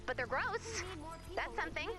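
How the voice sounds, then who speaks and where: High, lively, teasing team leader. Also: female voice, normal speed, over music, exaggerated voice. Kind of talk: video game voice acting.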